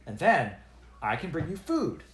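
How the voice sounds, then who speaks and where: A man's voice: fairly high pitch, wildly swooping pitch, unhurried, reverberant, voice pushed up. A man voicing a young human girl, an apprentice wizard, who talks hesitantly with ums and uhs. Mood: indignant.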